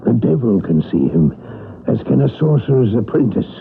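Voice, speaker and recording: An actor playing a grave older village priest who speaks in an ominous, hesitant way. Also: male voice, medium pitch, big pitch swings, moderate pace, gravelly, a little hiss or hum. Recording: old radio broadcast, narrow band.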